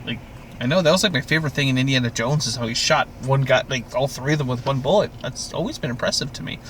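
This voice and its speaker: A man's voice: medium pitch, quick pace, a bit bright, slight background noise. A man from Canada co-hosting a podcast, chatting casually about TV in an exasperated, sweary, ranting way. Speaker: podcast co-host. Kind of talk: casual TV recap chat.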